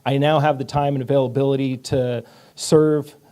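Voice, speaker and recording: A man's voice: medium pitch, flat delivery, normal speed, mellow. A man giving an unprepared, informal candidate speech in a modest, casual way.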